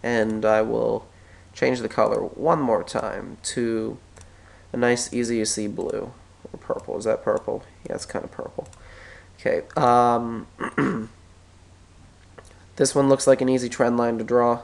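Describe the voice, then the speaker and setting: Stuffy, gravelly man talking through charts. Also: mid-range voice, flat delivery. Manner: thinking aloud, halting, self-correcting. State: stuffy with a cold, clearing his throat. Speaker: stock market analyst. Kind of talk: screen-recorded chart tutorial.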